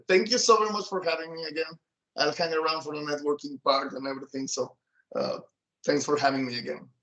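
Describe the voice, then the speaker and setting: Guy from mexico city giving a talk, higher voice, ticks off points one by one. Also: fairly even intonation, a little fast, fairly bright tone, dull audio. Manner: lists points, tags with "right?". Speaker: keynote speaker, software engineer. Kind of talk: keynote talk.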